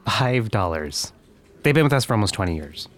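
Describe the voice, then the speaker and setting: An actor playing a polite young customer service rep in an audio comedy drama, hesitant and apologetic. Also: male voice, lowish voice, sing-song, normal speed, slight background noise. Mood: tentative, persuasive. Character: polite, placating young male phone rep under pressure.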